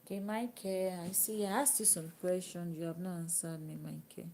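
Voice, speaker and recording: A Nigerian woman whose native language is Yoruba, chatting casually with viewers on a live stream, sometimes dropping to a soft whisper. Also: fairly low pitch, fairly even intonation, moderate pace, slight background noise. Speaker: livestream host. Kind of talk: casual live chat reading comments.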